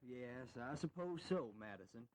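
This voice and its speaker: An actor playing an earnest, persuasive eighteenth-century state governor, urgent and pleading, in a historical audio drama. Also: male voice, mid-range voice, animated, normal speed, darkish tone, lightly acted.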